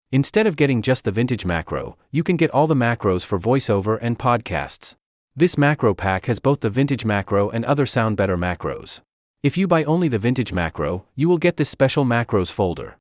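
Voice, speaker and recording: Man narrating a tutorial, lively pitch. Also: dry recording, clean studio sound. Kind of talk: scripted software tutorial narration.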